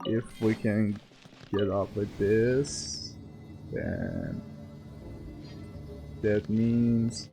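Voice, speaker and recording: Guy streaming a game, super slow n halting, pitch all over, thinking out loud. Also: sing-song, vocal fry. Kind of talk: casual gameplay commentary. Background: game music.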